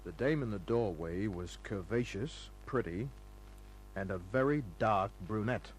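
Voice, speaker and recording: An actor playing a hard-boiled private detective, laconic, breezy and sardonic, in the manner of old-time radio drama. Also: male voice, fairly low pitch, a little slow, natural voice. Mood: dry narrating. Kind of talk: old-time radio acting and first-person narration. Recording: noisy humming old radio recording.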